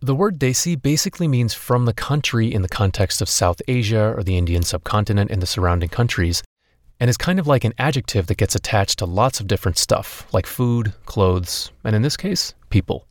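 A young man with a lowish voice narrates his own story in a podcast. The sound is dry and close. His telling is candid and personal. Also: animated, fairly quick, dry recording. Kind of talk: scripted narration and interviewing.

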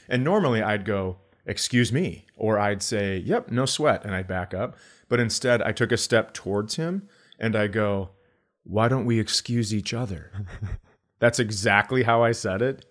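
A middle-aged American man hosting a comedy podcast, telling casual, profane stories and acting out the dialogue. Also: medium pitch. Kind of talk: casual comic storytelling.

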